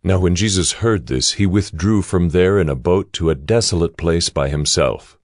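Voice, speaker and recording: A man narrating scripture in a solemn, measured, scripted style for a dramatized audio Bible. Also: sits low, dry recording, clean studio sound. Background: music.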